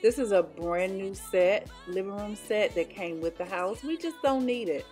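A middle-aged woman narrates in a relaxed, chatty voice-over, explaining as she goes and pausing on the odd um. Her pitch sits in an ordinary middle range. Soft music plays quietly beneath her. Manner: chatty, explaining with frequent ums. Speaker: home vlogger.

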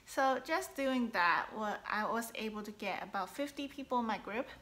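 A young woman from Hong Kong giving casual business tips in a relaxed, chatty way, with many ums, filmed at close range in a bedroom. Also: fairly high pitch, normal speed. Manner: chatty, many ums, talks with her hands. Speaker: online boutique seller. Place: bedroom, indoors, seated on a sofa.

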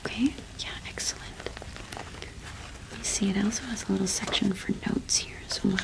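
A young woman speaking in a soft, breathy whisper close to the microphone, in a gentle, halting ASMR roleplay as a cottage witch shopkeeper. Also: mid-range voice, fairly even intonation, poor audio. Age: young adult.

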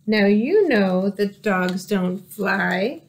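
A woman's voice: mid-range voice, animated, very slow, pitched below the performer's own voice. A woman voicing a scoffing young male dog in a storybook, blunt and dismissive with his schoolmate. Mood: scornful.

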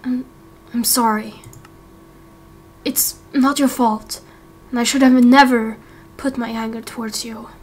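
Woman voicing a hushed, remorseful young man. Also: on the high side, fairly even intonation, lightly acted. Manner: halting, hushed, apologetic. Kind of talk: Minecraft roleplay voice acting.

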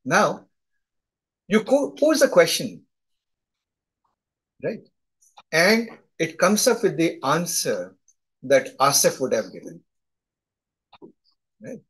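A man's voice: fairly high pitch, big pitch swings, takes it slowly, long pauses, a bit bright, breathy edge, low-quality recording. A middle-aged man with a thick Indian accent, teaching a live class over a video call, chatty and often asking "right?". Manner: conversational, often tags "right?". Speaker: instructor. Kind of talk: live online lecture.

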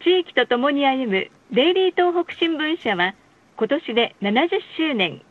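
Female bus announcer, very high voice, formal and measured, heard through a narrow lo-fi onboard speaker. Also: expressive. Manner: polite, formal, measured enunciation. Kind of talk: scripted bus stop announcements and ad reads. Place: recorded announcement played inside a bus. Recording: muffled, lo-fi bus speaker recording.

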